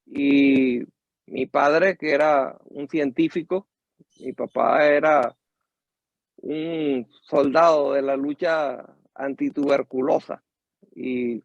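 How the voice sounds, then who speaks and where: An older man with a Venezuelan accent, a musician and teacher telling his life story in an interview, measured and reflective. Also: fairly high pitch, creaky, no echo, highs cut off. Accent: Venezuelan Spanish.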